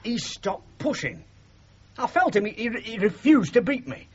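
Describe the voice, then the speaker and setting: An actor playing a sentimental, wounded middle-aged working-class father with a Northern English accent in a radio drama, speaking haltingly and emotionally. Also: extremely high pitch, very animated, husky, heavily acted. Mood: wistful, troubled. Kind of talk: 1970s radio drama acting. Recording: muffled, noisy 1970s radio broadcast.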